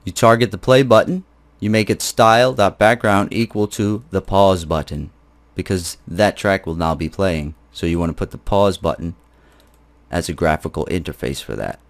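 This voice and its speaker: A man with a fairly low voice works through a screencast tutorial as the instructor. He explains things step by step in an informal, conversational manner, and his phrasing is blunt and plain. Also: normal speed.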